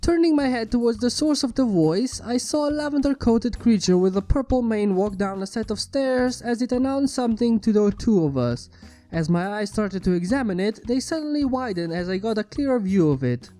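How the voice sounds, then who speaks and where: A man with a notably high, soft-toned voice narrates a first-person tale. His delivery is wry and theatrical, and music plays underneath the reading. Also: animated, normal speed. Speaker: fan fiction narrator.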